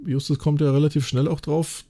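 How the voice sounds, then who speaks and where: A man's voice: on the high side, fairly even intonation, a little fast, warm tone, breathy edge. A man recapping and discussing a story casually as a podcast co-host, chatty and enthusiastic, often adding little tag questions for agreement.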